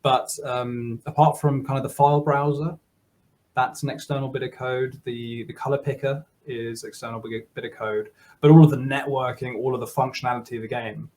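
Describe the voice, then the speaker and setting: A German man in his thirties, a software developer chatting as a guest on a video call, laughing often and restarting his sentences. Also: mid-range voice, fairly even intonation, moderate pace. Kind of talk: casual interview talk.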